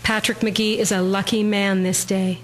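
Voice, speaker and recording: A woman acting a caring, gently formal mother in a historical audio drama. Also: mid-range voice, level tone, normal speed, close to an everyday voice. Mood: tender, hopeful. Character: caring mother of a young bride, 1910s.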